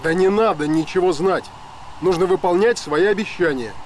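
A man's voice: high-pitched, moderate pace, slight background noise, lightly acted, low-quality recording. An actor in his forties playing a stern, exasperated boss figure in a screen drama, scolding bluntly with authority. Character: stern, exasperated stage director scolding young protégé. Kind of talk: naturalistic screen drama acting. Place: outdoors in a city square.

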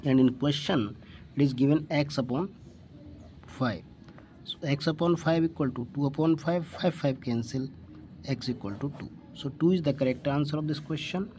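A man with an Indian accent tutoring physics, working through a problem step by step in deliberate, halting phrases. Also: fairly high pitch, a little mellow, slight background noise. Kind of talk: step-by-step worked-problem lesson.

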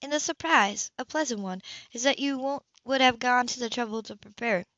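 A woman's voice: fairly high pitch, a little fast, fairly bright tone, no room sound, muffled recording, voice pushed up, natural voice. A woman voicing a guarded, analytical female academic with a precise, lecturing manner in an audiobook romance.

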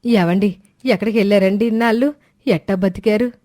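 A woman's voice: mid-range voice, brisk, warm tone, no echo, studio quality, natural voice. A woman voicing an affectionate, persuasive mother of grown sons in a family story. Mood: astonished.

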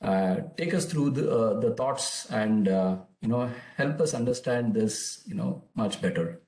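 A man moderating a webinar over a video call, hesitant and filling pauses with "uh". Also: lowish voice, lively intonation, darkish tone. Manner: hesitant, many "uh" fillers. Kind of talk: webinar introduction. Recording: echoey room over a call.

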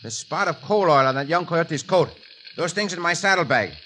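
A man's voice: fairly high pitch, moderate pace, pushed and bright. A man playing a confident caballero hero in a 1950s radio drama. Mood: determined. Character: dashing male Mexican caballero hero, confident. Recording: noisy old radio broadcast.

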